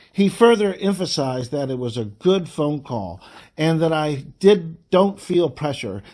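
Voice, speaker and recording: Man, slightly high voice, angry, indignant, emphatic, deliberate phrasing, dramatic letter reading. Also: a little mellow. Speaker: reader of a political letter. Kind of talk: dramatic read-aloud of a formal letter.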